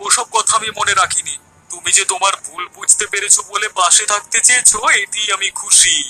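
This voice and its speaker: A man playing a kindly, puzzled village husband with a gentle questioning manner in an animated story. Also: high-pitched, fairly quick, faint music behind, low-quality recording. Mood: mild, puzzled. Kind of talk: Bengali animated story dialogue.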